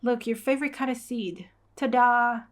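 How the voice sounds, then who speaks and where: Woman as young manga heroine, spirited, scheming, feisty, expressive, high-pitched. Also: moderate pace, close to an everyday voice. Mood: playful.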